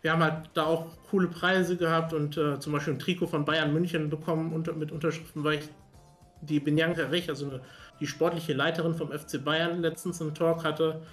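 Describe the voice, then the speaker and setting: A young man chatting casually on a livestream as a sports streamer, in an earnest, halting way. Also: a little high, level tone, a bit bright, soft background music. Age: young adult. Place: small home studio room, on a video call.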